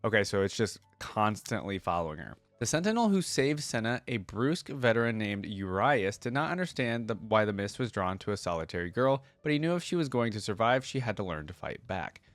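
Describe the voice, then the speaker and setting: A man with a lowish voice hosts a reaction video in an informal way. He moves between reading lore aloud and commenting on it. The sound is dry, with little room in it. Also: big pitch swings, brisk, hardly any pauses, dry recording.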